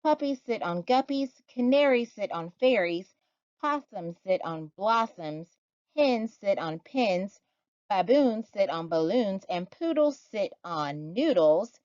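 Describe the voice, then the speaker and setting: A woman's voice: medium pitch, animated, slow, darkish tone, no echo, dull audio, voice pushed up. A woman voicing a fussy storybook cat, a stickler for rules, listing things insistently in sing-song.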